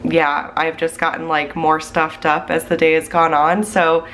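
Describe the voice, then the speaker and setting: A woman's voice: sits low, level tone, unhurried, fairly bright tone, a little hiss or hum. A woman with a stuffed-up, congested voice, chatting casually as she plans on her tablet and thinks aloud while she works. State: congested from the flu. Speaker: planning channel host.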